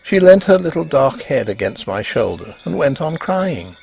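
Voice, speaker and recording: A man narrating a ghost story in an expressive, measured and dramatic scripted reading. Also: a little high, gravelly, husky, poor audio.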